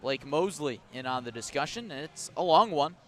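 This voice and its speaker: Higher-pitched man calling baseball play-by-play. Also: expressive. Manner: measured delivery that rises on action calls. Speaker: baseball play-by-play radio announcer. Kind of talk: live sports commentary with descriptive asides. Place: outdoor ballpark broadcast position above the stands.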